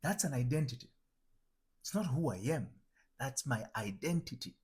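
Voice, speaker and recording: Husky Tanzanian man teaching with lively pitch. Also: moderate pace. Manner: reflective, repeats and restarts phrases while building a point. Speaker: identity transformation coach. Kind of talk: motivational teaching monologue.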